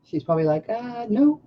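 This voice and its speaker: A woman's voice: medium pitch, slight background noise. A woman in her forties, nasal and congested from allergies, chatting and laughing often as she hosts a casual livestream interview. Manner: chatty, laughs often, quotes others with lively voices. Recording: dull lo-fi stream audio.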